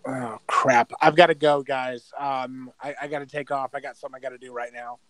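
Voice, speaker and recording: A man's voice: medium pitch, steady tone, fairly quick, a bit bright, dry recording, low-quality recording. A man chatting casually on a group voice call, stammering and repeating words as he starts a thought. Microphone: computer mic on a voice call.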